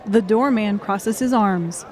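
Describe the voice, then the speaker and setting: Mid-pitched woman narrating an audiobook. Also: takes it slowly, a little mellow, slight background noise.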